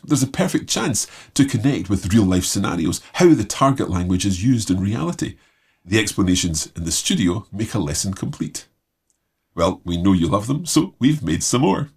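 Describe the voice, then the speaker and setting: A man hosting and presenting scripted announcements in a clearly enunciated presenter style. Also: lowish voice, a little mellow. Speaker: host.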